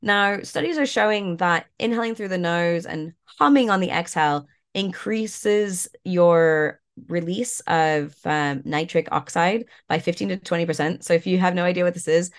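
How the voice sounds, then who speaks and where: A woman guest, a yoga and mindfulness coach, talking in a relaxed way with lots of fillers while stuffed up and a bit croaky. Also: lowish voice, normal speed, few pauses. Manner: relaxed, many fillers like "like" and "you know". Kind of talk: casual interview talk, guiding an exercise.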